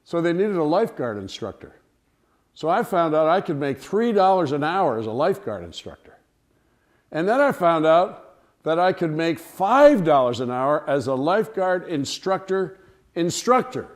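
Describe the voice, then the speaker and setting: A man telling a humorous personal anecdote to listeners in an animated, theatrical way. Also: a little high. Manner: animated, theatrical storytelling with acted-out cries and dialogue. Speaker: storyteller, speaker to an audience.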